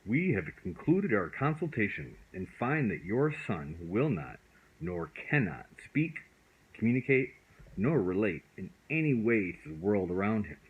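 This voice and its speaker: A man's voice: on the low side, big pitch swings, a little slow, narrow, tinny audio. A man voicing a grim, pompous learned doctor in a storybook, speaking formally and with emphasis. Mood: grave.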